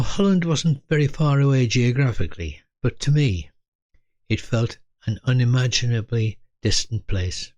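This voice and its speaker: An elderly man in his eighties narrates a reflective, scripted memoir in measured, deliberate short phrases. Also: medium pitch, a little mellow, dry recording. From Britain. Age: 80s. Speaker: storyteller recalling his youth.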